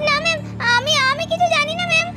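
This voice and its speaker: A frightened young schoolgirl, played by a woman, whines and pleads in a very high, squeaky voice. Her denials tumble out in a rush. Cartoon music runs steadily underneath. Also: steady tone, quick pace. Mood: pleading.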